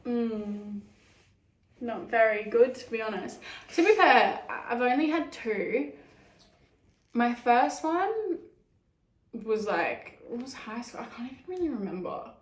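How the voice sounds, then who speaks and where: A woman's voice: on the high side, expressive, a little slow, long pauses, roomy sound. A young woman chatting casually in a Q&A, giggly and full of "like" fillers. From Australia. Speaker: YouTuber answering fan questions. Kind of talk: casual Q&A chat.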